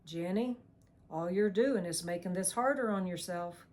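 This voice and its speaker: A woman's voice: medium pitch, expressive, unhurried, lightly acted. A woman narrator voicing a cruel male hired killer who mocks and threatens in crude slang. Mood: mocking. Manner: mocking, crude backwoods slang, shouting threats.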